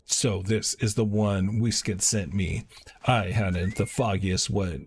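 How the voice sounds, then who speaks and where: A man's voice: on the low side, fairly even intonation, slow pace, a little hiss or hum. A man reading out a stern, formal fantasy quest-giver from a video game. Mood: curt, distrustful. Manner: formal, archaic phrasing. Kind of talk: reading game dialogue aloud.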